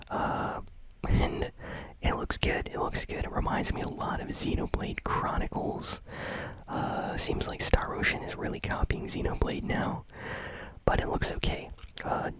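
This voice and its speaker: A man whispering gaming news in a relaxed ASMR style, chatty and full of fillers. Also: low-quality recording. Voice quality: unvoiced whisper. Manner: casual whisper with many fillers.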